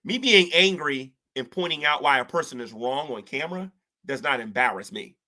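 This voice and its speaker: A man's voice: medium pitch, expressive, moderate pace, bright, forceful tone. A man giving casual, rambling commentary to camera, slangy and preachy, often talking about faith and spiritual warfare. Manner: rambling, colloquial with "ain't", many restarts; preachy, talks of gospel and spiritual war. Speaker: online commentator. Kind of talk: casual self-reflective commentary.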